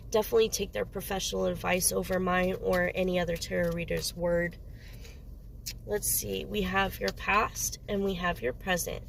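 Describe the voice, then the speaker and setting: Woman tarot reader with a head cold, gravelly voice, slightly noisy background, rambles and searches for words. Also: medium pitch. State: sniffly with a cold. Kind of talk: casual solo tarot reading.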